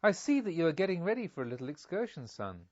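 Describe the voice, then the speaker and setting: Guy doing a pompous old merchant dad from a storybook, effusive n sly, really swoopy pitch, dry sound. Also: very animated, fast, dry recording, highs cut off. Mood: genial, probing. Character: genial, pompous, scheming elderly merchant father. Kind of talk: audiobook character dialogue.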